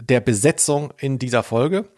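Man, average pitch, podcast host, informal conversational talk. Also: normal speed, a little mellow. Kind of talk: casual chat about audio dramas.

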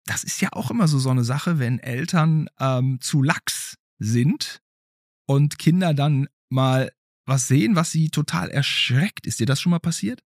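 A man, middle-aged, hosting and telling stories in a casual, chatty way with a husky voice. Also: mid-range voice, unhurried, no echo, clean studio sound. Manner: animated, chatty. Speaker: host. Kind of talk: casual storytelling and chat with a child.

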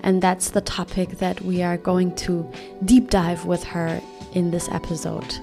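Slow, gentle woman host over music. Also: lowish voice, flat delivery, creaky. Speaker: podcast host. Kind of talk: scripted intro. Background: soft music.

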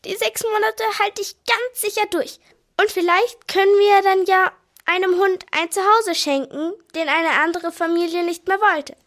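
Woman playing an eager high-pitched little girl. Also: normal speed, fairly bright tone. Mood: delighted. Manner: insistent, childlike. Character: eager, pleading nine-year-old girl longing for a dog. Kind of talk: children's radio play acting.

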